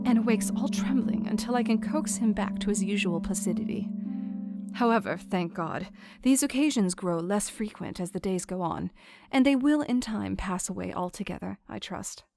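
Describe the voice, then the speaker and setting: A woman playing a chatty, affectionate young wife reading out her period letter in an audio drama, expressive and gently formal. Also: medium pitch, close to an everyday voice. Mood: tender, concerned. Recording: instrumental music under the voice.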